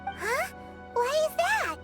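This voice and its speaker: A woman voicing a tiny, childlike floating mascot companion in a video game, with an eager, chirpy, squeaky delivery. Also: big pitch swings, slow, over loud music, heavily acted. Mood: chirpy, curious. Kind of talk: video game character dialogue.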